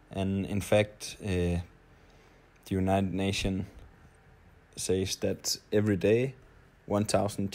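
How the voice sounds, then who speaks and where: A man in his late twenties from Denmark with a Danish accent, telling his story in a halting, hesitant audio-blog monologue. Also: low-pitched, darkish tone. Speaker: traveler, audio blogger.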